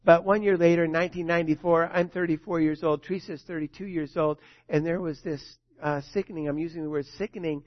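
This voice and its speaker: A man in his sixties preaches a sermon in a voice set a little high. He tells his stories in big dramatic swells and then drops to a hush. The sound is dull and very dry. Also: steady tone, quick pace, no echo. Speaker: pastor.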